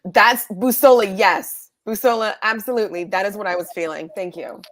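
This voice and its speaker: A woman's voice: mid-range voice, normal speed. A woman guest co-host on a reality TV recap, wry and joking.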